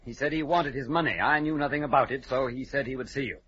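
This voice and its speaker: An actor playing a proper, anxious gentleman solicitor in an old radio drama, formal, earnest and a little hesitant. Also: medium pitch, fast, lightly acted. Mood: concerned, probing. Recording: dull, lightly noisy vintage radio recording.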